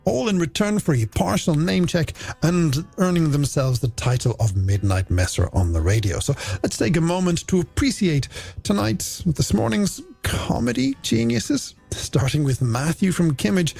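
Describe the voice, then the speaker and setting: A man's voice: on the high side, vocal fry, darkish tone, slightly rough. An Irish man hosting a late-night radio music show, presenting with a playful, theatrical and polished delivery. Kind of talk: radio DJ presenting, game segments and shout-outs. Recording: music bed under the voice.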